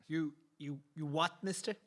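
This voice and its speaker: Man playing nervy bridge keeper, high bright voice, lively pitch, blunt, suspicious, vintage radio drama. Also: moderate pace, lightly acted. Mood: rattled, suspicious. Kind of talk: 1940s radio acting.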